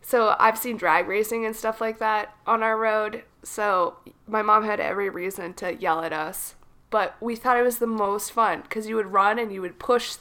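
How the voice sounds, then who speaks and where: A woman's voice: mid-range voice, steady tone, normal speed, sharp tone. Woman, young adult, froggy from a cold, rambling storyteller, casual podcast chat. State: has a cold, a little froggy. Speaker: podcast co-host.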